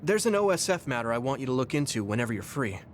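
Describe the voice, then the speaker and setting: A man playing an earnest, caring young soldier in a video game, speaking sincerely and clearly. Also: mid-range voice, very animated, fast talker, slight background noise, lightly acted. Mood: concerned, helpful. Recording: background music under dialogue. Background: game soundtrack music.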